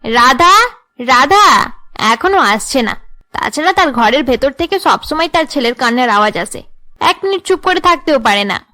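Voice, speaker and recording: A woman voicing haughty, scheming young women in a cartoon drama, with an imperious, sharp-tongued way of talking. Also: sits high, expressive, normal speed. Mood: angry, threatening. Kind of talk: Bengali cartoon drama dubbing.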